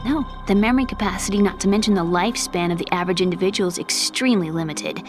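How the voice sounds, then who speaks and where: A woman's voice: medium pitch, fast, music in the background, close to an everyday voice. Game role: young female computer scientist, played by a woman, earnest, precise, slightly superior, challenges with pointed questions; score playing behind. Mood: lecturing. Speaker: video game character. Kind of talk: scripted game cutscene dialogue.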